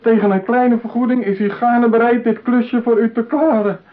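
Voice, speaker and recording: A man's voice: very high-pitched, steady tone, moderate pace. Lonely possessive man, tinny old recording. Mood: anxious reading. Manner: theatrical, talking aloud to his silent bride. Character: lonely, possessive man besotted with his mechanical bride. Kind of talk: radio play acting. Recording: tinny narrow-band radio play, clear hiss.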